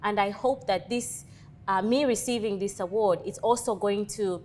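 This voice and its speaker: A woman's voice: medium pitch, moderate pace, darkish tone. Earnest woman in her thirties. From Zambia. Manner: earnest, motivational speaker's conviction. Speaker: guest, leadership coach. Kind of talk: interview conversation.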